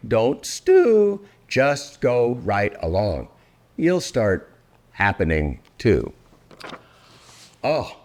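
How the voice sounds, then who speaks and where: An older man performing a children's story aloud in a theatrical, deliberate, welcoming manner. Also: mid-range voice. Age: 60s or older. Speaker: storyteller and host.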